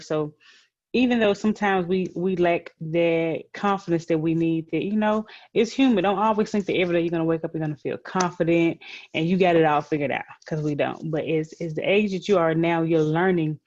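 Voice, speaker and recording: A woman with a moderately low voice hosts a virtual session for children. She talks in a conversational, encouraging way. The sound is a little dull and muffled, like online meeting audio. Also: a little mellow. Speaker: session host. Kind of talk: informal encouraging talk to children.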